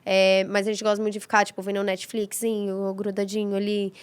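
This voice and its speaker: A woman guest chatting casually and telling stories in a hoarse voice. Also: mid-range voice, monotone, fast, no echo. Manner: chatty, storytelling with quoted voices and laughter. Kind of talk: casual chat.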